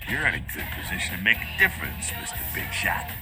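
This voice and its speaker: A man's voice: extremely low pitch, sing-song, fast talker, shouting, over loud music. A man playing a wry, world-weary, streetwise vampire with a folksy, needling way of talking in a video game. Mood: frank, advising.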